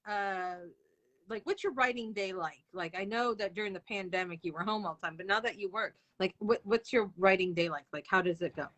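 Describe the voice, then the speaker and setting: A woman's voice: mid-range voice, a little fast, fairly bright tone. A chatty, informal woman hosting a casual live interview. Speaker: interviewer and live-show host. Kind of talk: casual chat.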